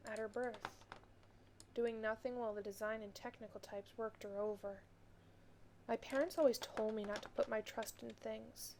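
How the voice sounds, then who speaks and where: A woman playing an earnest female space officer, narrating her story wistfully in a measured audio drama style. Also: fairly high pitch, a little hiss or hum, lightly acted.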